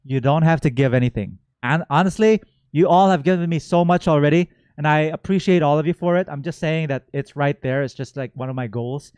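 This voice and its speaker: A man hosting a game stream, chatting casually and playfully, given to excited exclamations and rambling asides. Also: a little high, brisk, hardly any pauses, smooth, no room sound. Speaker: streamer and host. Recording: music playing behind the voice. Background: game music.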